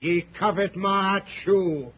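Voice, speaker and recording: A sinister, haughty king speaks slowly in a high, husky voice. His archaic words come out imperious, as in an old radio adventure serial, on a muffled vintage recording. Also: slight background noise. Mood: cold, imperious. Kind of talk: 1930s radio serial acting.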